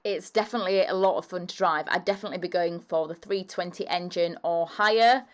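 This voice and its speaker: A woman's voice: fairly low pitch, fairly quick. A woman reading an upbeat, scripted voice-over for a car review. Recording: voice-over with faint music underneath.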